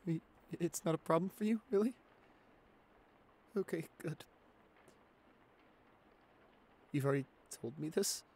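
A man's voice: a little high, normal speed, darkish tone. A man voicing a shy, anxious, apologetic nineteen-year-old boyfriend in an ASMR roleplay, stammering and hesitant as he pleads. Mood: apologetic, embarrassed.

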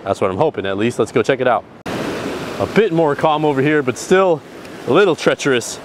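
A man in his thirties vlogging excitedly while fishing from a rocky ocean shore, recorded outdoors on an action camera. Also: mid-range voice, normal speed. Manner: excited, exclaiming. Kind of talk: outdoor vlog narration. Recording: faint surf and wind noise. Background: waves and wind.

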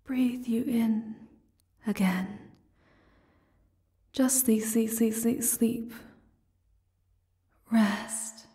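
A woman voicing a creepy, obsessively devoted castle servant, hushed, halting and menacingly calm, in an ASMR roleplay. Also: on the high side, breathy edge, dry recording, studio quality, full character voice. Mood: hushed yearning.

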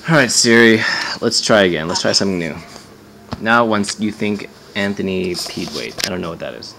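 A man's voice: low-pitched, animated, moderate pace, creaky, noisy background. A man giving a casual hands-on gadget demo as a reviewer, offhand and sardonic, swearing and sounding exasperated.

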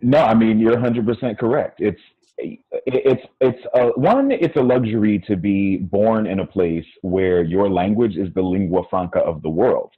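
Middle-aged American man, guest on a video call; low and husky, dry tinny line, thoughtful with restarts. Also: moderate pace, no echo. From United States. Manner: reflective, many fillers and restarts. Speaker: podcast guest. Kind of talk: casual conversation. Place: small room indoors. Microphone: earphone mic on video call. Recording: phone-like narrow sound, dry.

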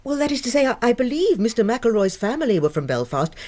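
Prim, very animated English lady. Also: a little high, quick pace. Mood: prim, hesitant. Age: middle-aged. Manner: proper, precise, flustered. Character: prim, indignant fifty-year-old maiden lady. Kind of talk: radio drama acting.

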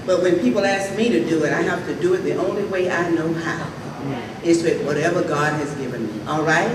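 A woman reverend with a raspy voice, slowly and earnestly leading a prayer at a ceremony from a podium microphone on stage. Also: on the low side. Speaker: reverend giving invocation. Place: stage of a large hall at a graduation ceremony. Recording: echoey hall, distant and faintly noisy.

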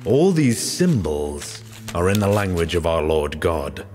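A man's voice: low voice, sing-song, unhurried, a little mellow, slight background noise. An actor playing a solemn, pious king in an audio drama, speaking in a formal, commanding manner. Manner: formal, grandiose.

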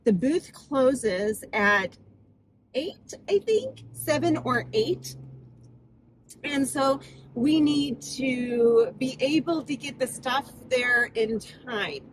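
A woman's voice: high-pitched, lively intonation, very slow, a little hiss or hum, low-quality recording. A woman in her thirties chatting casually to camera from inside her car, sounding stuffed up and nasal from a cold, laughing often. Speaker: vlogger. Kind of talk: casual vlog chat to camera. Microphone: phone camera on the dashboard.

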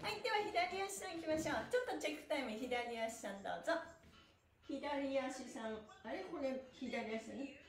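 A woman's voice: high voice, animated, a little fast. A middle-aged woman leading a live stretching class in a cheerful, encouraging, playfully polite way with rhythmic chants. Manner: polite, playful honorifics for body parts. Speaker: stretching instructor. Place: small bare-walled room indoors. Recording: echoey room.

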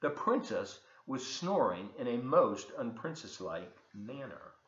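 Expressive older man reading aloud, very animated pitch. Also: unhurried, a little hiss or hum. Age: 70s. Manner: expressive, explains pictures to listeners. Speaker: volunteer storybook reader. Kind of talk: read-aloud storytelling for children with asides. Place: large open kitchen and living room, indoors. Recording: echoey room, slightly dull webcam sound.

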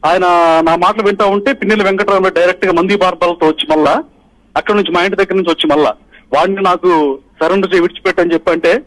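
A man's voice: sits high, steady tone, fast, poor audio. A middle-aged man from Andhra Pradesh calling in by phone as a party polling agent, giving an urgent, emphatic account to the media. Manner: urgent, pressing, emphatic retelling. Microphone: phone call.

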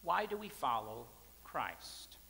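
A man in his thirties, a pastor, preaching at a pulpit in a large church sanctuary with emphatic, repeated phrases that swing between loud and soft. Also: mid-range voice, very animated, slow, vocal fry. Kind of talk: sermon. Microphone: pulpit mic, far camera. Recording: church hall reverb.

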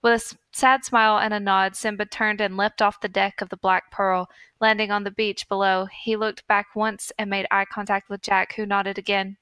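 A woman's voice: medium pitch, flat delivery, normal speed, few pauses, fairly bright tone, clear, resonant tone, no echo. A woman podcast host reading fan fiction aloud in a mock-earnest storytelling manner.

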